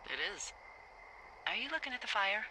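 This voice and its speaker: A woman's voice: fairly high pitch, lively intonation, normal speed, sharp tone, a little hiss or hum, rough recording. A woman with an American accent playing a wry, flirtatious fire lookout in a video game, talking candidly over a two-way radio. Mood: relaxed, teasing. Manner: wry, teasing, conversational.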